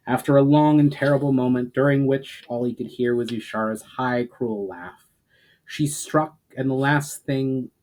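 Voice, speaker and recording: A man with a mid-pitched voice reads fantasy fiction aloud. His narration is dramatic, starting phrases with emphasis and letting their endings fall away softly. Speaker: author reading his fantasy story.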